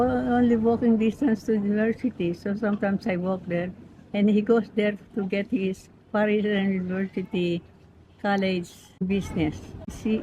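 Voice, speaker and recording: A woman in her nineties from the Philippines, speaking with a Filipino accent and Pampango as her first language, rambling gently and laughing easily as she recalls her life. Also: mid-range voice. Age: 90s. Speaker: interviewee. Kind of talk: reminiscing in casual chat. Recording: lo-fi with background noise.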